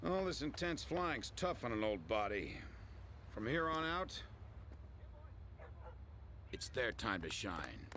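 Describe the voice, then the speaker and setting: A man acting a middle-aged veteran military pilot in a video game, reflective and deliberate, with a gravelly voice. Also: medium pitch, big pitch swings, sharp tone, slight background noise, full character voice. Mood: resolute. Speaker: veteran air force colonel.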